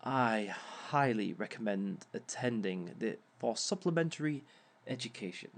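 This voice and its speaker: A man acting a suave male villain, audio roleplay lecturer, unhurried, mid-pitched, sly and theatrical. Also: animated, a little hiss or hum. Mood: proud, genial. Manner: theatrical, measured, drawn-out phrasing with sly chuckles. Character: suave, smug reformed male villain lecturing as a relationship expert. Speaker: speaker leading a seminar session.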